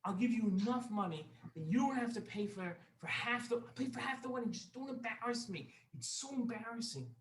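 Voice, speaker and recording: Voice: medium pitch, normal speed, a little mellow, far from the mic, pitched above the performer's own voice, close to an everyday voice. A storyteller as pleading, embarrassed wedding guest. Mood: desperate. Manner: beseeching, repetitive pleas. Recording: echoey room.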